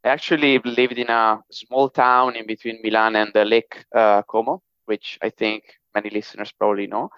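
Italian-accented man, hesitant, with a smooth voice. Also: lowish voice, little pitch movement, normal speed, few pauses, no echo, muffled recording. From northern Italy. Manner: hesitant, many fillers and restarts. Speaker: podcast guest, technology executive. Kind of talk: casual interview talk.

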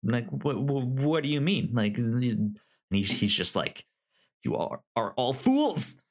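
Male podcast host, medium pitch, unhurried and stumbling over word starts, casual recommendation chat. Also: very animated. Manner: halting, stammering with repeated word starts.

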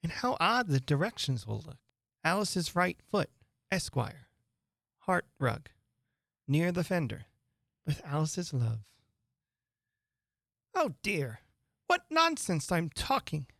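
A woman's voice: on the low side, big pitch swings, slow, creaky, pauses often, fairly bright tone, no room sound, clean studio sound, heavily acted. A woman voicing a young girl who is curious, fretful and prim, talking to herself in wonder. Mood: musing.

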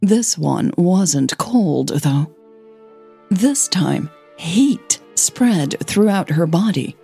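Woman reading audio fiction, real slow n dramatic w pauses, mellow low-ish voice. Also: animated, creaky, slightly rough, soft background music. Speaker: narrator and host of a fiction podcast. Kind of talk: scripted audio fiction narration.

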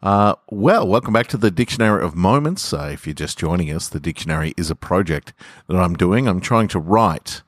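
Australian male podcast host and story reader. Low voice, very dry close-miked sound. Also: lively intonation, a little fast, no room sound. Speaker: podcast host and author reading own stories. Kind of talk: casual intro and measured literary story reading. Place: garage.

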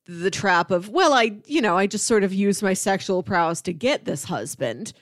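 A woman's voice: medium pitch, animated, moderate pace, dry recording, clean studio sound. A woman co-hosting a podcast, chatting casually and conversationally about film.

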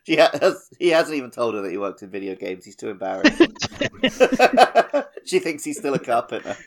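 A British man co-hosting a gaming podcast, chatting casually and riffing on jokes in an animated way. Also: medium pitch, moderate pace, a crowd in the background. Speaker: podcast co-host.

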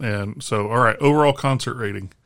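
A man co-hosting a casual podcast chat, his voice raspy and hoarse from screaming at a concert, rambling with lots of fillers. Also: medium pitch, brisk, fairly bright tone, no echo, studio quality. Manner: rambling, filler-heavy delivery. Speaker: podcast co-host.

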